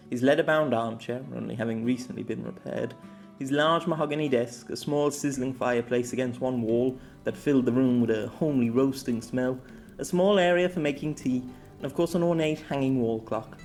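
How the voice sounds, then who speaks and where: A man's voice: medium pitch, normal speed, a little mellow, faint music behind. A man reading scripted story narration as an amateur audiobook narrator.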